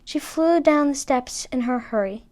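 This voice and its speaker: A woman narrating a fairy tale as a storybook reader, with expressive delivery. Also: high voice, normal speed.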